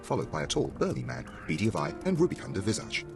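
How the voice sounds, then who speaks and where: A man's voice: lowish voice, huge pitch swings, fast, creaky, over music. A man narrating a story as an audiobook narrator, in a dramatic scripted reading style.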